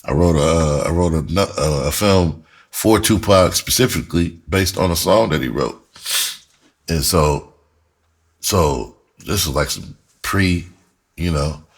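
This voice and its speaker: A middle-aged American man with a husky voice tells his own story casually, speaking bluntly in emphatic, profanity-laced street slang. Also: a bass voice, slow pace, long pauses. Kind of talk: casual first-person storytelling to camera.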